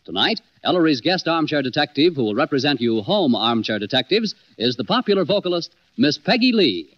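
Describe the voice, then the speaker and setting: A man's voice: fairly high pitch, very animated, a little fast, fairly bright tone. An American man reading a formal, declamatory scripted introduction as a 1940s radio announcer. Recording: muffled 1940s radio recording with organ.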